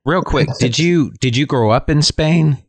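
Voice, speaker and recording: A man co-hosting a podcast, asking questions in a measured, curious way. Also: on the high side, dry recording. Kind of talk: casual interview.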